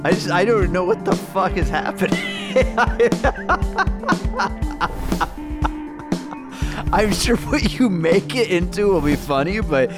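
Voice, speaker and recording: A man's voice: high voice, sing-song, takes it slowly, pauses often, loud music behind the voice. A man co-hosting a comedy podcast, playing a news anchor and then chatting, amused and laughing easily. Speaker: podcast co-host. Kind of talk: mock news interview and casual chat.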